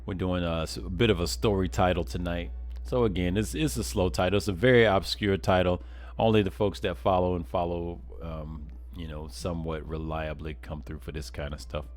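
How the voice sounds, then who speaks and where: A man's voice: sits low, fairly even intonation, brisk. A man live streaming a game, chatting casually with viewers in a folksy, rambling way, often repeating words.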